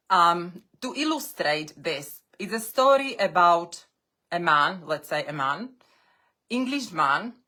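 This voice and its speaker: A woman with a non-native English voice talks to language learners at an unhurried pace. She speaks in short, deliberate phrases with pauses between them. Her pitch sits in a middle range for a woman. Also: a bit bright. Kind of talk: live-streamed talk to language learners.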